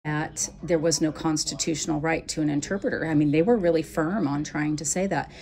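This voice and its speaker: Gravelly low-voiced woman interviewer. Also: steady tone, a little fast, a little mellow. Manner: friendly, conversational. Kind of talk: street interview questions.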